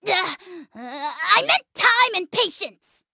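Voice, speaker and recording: A pint-sized, pompous male dragon spirit from a cartoonish video game. The voice is piercingly high and swoops wildly, with a sharp, gravelly squawk. He is bratty, haughty and quick to explode. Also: very animated, no room sound, studio quality, heavily acted. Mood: haughty, grudging. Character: tiny pompous, bad-tempered male dragon spirit companion.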